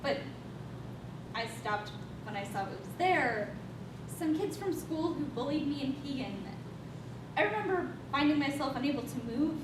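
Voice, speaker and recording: A woman's voice: lively intonation, normal speed, pauses often. A woman acting in a staged reading as a guilt-ridden, defensive young woman on a space mission, speaking in an emphatic, confessional way. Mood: reminiscing. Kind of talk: staged reading, dramatic monologue. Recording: echoey room, distant mic, rumbling noise.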